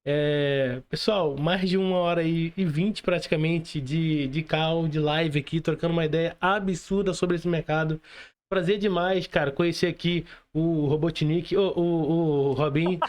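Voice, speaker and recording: High-pitched Brazilian man hosting informally. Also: fairly even intonation, a little slow. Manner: informal, slangy, friendly. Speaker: podcast host. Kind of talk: casual livestream chat. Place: remote video call.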